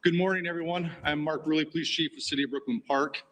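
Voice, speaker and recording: A man, a police chief, reading a formal press statement in a measured, deliberate way. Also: a little high, steady tone, fairly bright tone, slight background noise, low-quality recording. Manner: measured, deliberate official delivery.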